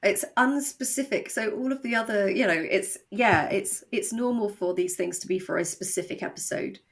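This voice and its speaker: A woman chatting as a guest in a podcast interview, explaining things in a hesitant way with pauses mid-sentence. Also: medium pitch. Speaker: audio drama co-creator, podcast guest. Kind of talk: casual podcast interview chat.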